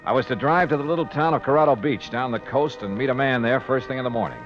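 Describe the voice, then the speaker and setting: A man's voice: medium pitch, fast, close to an everyday voice. An actor playing a breezy, hardboiled male insurance investigator in a punchy 1950s radio drama style. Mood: brisk narrating. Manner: wry, punchy, clipped narration. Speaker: lead actor and first-person narrator. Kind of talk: 1950s radio detective acting. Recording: dull vintage radio recording, music behind.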